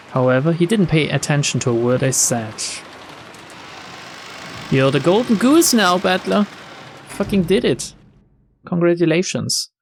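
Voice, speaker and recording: A man's voice: medium pitch, a little hiss or hum. A man reading visual novel text aloud as a let's-play narrator, dropping wry, sarcastic and sweary commentary.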